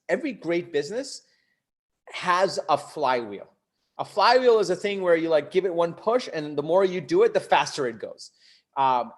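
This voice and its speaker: A man from Bangladesh and the US giving a live online coaching talk, conversational and emphatic, often checking in with rhetorical questions. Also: fairly high pitch. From Bangladesh until ten, then the US. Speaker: host, startup coach.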